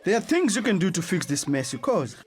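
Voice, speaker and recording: An actor playing a stern but sympathetic headmaster in a radio drama, with a formal, exasperated manner. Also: male voice, high-pitched, big pitch swings, fairly quick, a faint crowd. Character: stern, rule-bound headteacher, sympathetic but unyielding.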